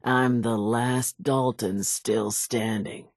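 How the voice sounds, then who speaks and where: An actress playing a vengeful old island widow in a video game, telling grim tales in a raspy, folksy, theatrical way. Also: female voice, a bass voice, fairly even intonation, slow pace, a little mellow, dry recording, studio quality, heavily acted. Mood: grim storytelling. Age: older. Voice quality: raspy, gravelly. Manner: folksy, dramatic storytelling drawl. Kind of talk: video game character dialogue.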